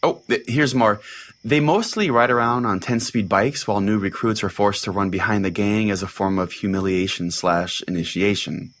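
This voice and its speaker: Man playing dejected podcast pastor, lowish male voice, deadpan, rambling, exasperated, comedic audio fiction, conversational storytelling. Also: normal speed, natural voice. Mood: matter-of-fact. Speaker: podcast host.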